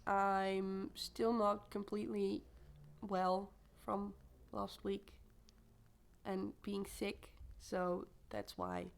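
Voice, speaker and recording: A young woman chatting casually about her reading plans, her voice a little croaky from a recent illness, rambling and correcting herself as she goes. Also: mid-range voice, lively intonation, extremely slow. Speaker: booktuber. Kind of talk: casual chat to camera.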